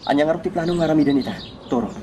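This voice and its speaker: A man's voice: fairly high pitch, racing, lightly acted. An actor playing a loyal, reassuring male accomplice in an Ilocano radio drama. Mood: steady, reassuring. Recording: loud noise behind the voice.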